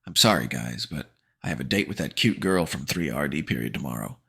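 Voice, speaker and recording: A man voicing a cowardly teenage boy who yells in a bratty, frantic way. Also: very deep voice, animated, fairly quick, gravelly, husky, heavily acted. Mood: taunting. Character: panicky cowardly teenage boy, whiny and shrill.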